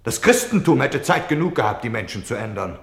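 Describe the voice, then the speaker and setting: A man's voice: medium pitch, big pitch swings, brisk, fairly bright tone, reverberant. A man playing a defensive, self-pitying Nazi official on wartime leave in a German radio play, justifying himself between subdued confession and indignant outbursts. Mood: agitated, bitter.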